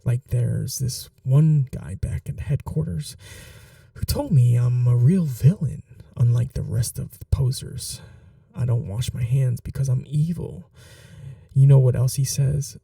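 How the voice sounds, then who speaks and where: Chatty, vain male supervillain, played by a man, smitten and casually menacing, unhurried and gravelly, ASMR roleplay. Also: medium pitch, warm tone, dry recording, studio quality, distant mic. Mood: chatty, musing. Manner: flirtatious, casually menacing, musing aloud. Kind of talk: ASMR roleplay monologue to the listener.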